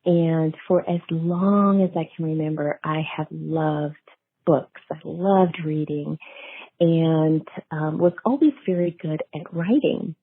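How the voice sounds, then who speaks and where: A woman's voice: deep voice, slow, breathy edge. A middle-aged woman, telling her story conversationally as an interview guest. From small town in eastern North Carolina, USA. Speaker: guest author. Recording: tinny phone line, roomy echo.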